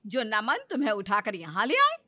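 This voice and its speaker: A woman's voice: medium pitch, wildly swooping pitch, normal speed, sharp tone, heavily acted. A woman playing a bitter, suspicious sister-in-law in a story, scolding and sarcastic.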